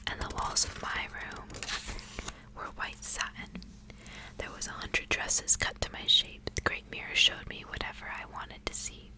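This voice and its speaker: Softly whispering ASMR woman. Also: poor audio. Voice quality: breathy, unvoiced whisper. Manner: soft, hushed, intimate delivery. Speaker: ASMR host reading aloud. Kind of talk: whispered ASMR storybook reading with casual asides.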